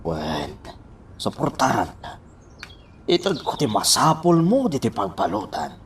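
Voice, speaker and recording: A man's voice: mid-range voice, huge pitch swings, unhurried, far from the mic, a little hiss or hum. A man playing a scheming accomplice in a radio drama, coaxing and reassuring.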